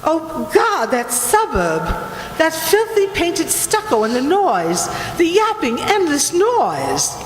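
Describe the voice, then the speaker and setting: A woman's voice: sits high, big pitch swings, slow pace, exaggerated voice. A woman playing an imperious, obsessive Italian noblewoman in a radio play, theatrical and grandly condescending as she tells ghostly legends. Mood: haughty disgust. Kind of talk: dramatic radio play acting. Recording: roomy, echoing hall, faint noise.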